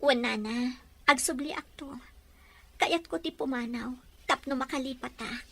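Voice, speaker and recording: A woman's voice: high voice, moderate pace, creaky, pushed and bright, full character voice. A woman acting a sorrowful young woman leaving home in a Filipino audio drama. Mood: sorrowful farewell.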